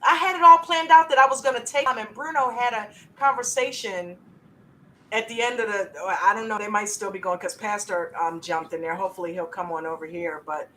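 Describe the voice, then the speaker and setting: A woman in her fifties chatting casually with fellow traders on a stream, animated and quick to laugh. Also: medium pitch, slight background noise, rough recording. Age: 50s. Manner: animated, laughs often, says "dude". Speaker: trading stream host. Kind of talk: casual chat.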